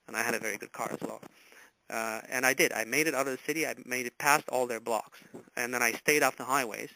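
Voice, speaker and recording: A man in his forties, from Romania, recounting a personal story as an interview guest, halting and full of filler words. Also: mid-range voice, gravelly, sharp tone, dry recording, poor audio. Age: 40s.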